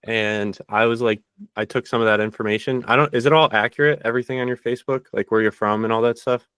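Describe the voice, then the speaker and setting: A man hosting a podcast, interviewing guests casually with energetic questions and frequent restarts. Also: mid-range voice, fast talker, clean tone, no echo, studio quality. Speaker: podcast host.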